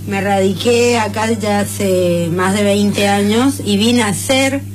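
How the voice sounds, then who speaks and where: Woman, radio co-host, very slow, calm measured speech, faint music behind. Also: mid-range voice. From Córdoba, Argentina. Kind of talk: casual radio chat.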